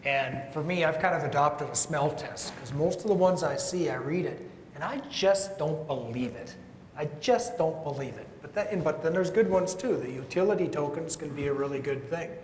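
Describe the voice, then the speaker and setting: A man who works as a lawyer, speaking wryly and conversationally on a panel. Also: normal speed. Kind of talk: panel discussion. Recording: echoey hall, long reverb, faint rumble.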